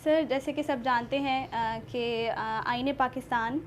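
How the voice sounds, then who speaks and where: A woman's voice: sits high, fairly even intonation, unhurried, slight background noise. A woman with a Pakistani accent, hosting a talk show in a polite, formal and somewhat hesitant manner.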